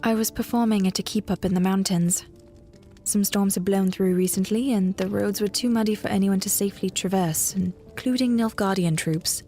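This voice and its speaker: Female bard, played by a woman, fantasy audio drama: medium pitch, measured, gentle, guarded and a little wistful, over music. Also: lightly acted. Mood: guarded, polite.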